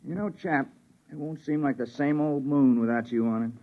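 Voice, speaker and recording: An actor playing a wisecracking, impatient geologist on a moon crew in a 1950s radio drama. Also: male voice, a little high, moderate pace, lightly acted. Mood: teasing. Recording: dull, lo-fi 1950s radio recording.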